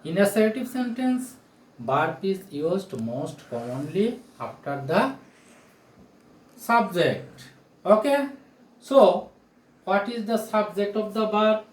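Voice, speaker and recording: Slow, deliberate male teacher in echoey room. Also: high voice, big pitch swings. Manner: deliberate, emphatic, repeats points and checks understanding with questions. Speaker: English teacher. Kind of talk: classroom grammar lesson to camera. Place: small indoor room beside a whiteboard. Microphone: phone or camera a short distance away. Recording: echoey bare room, camera mic.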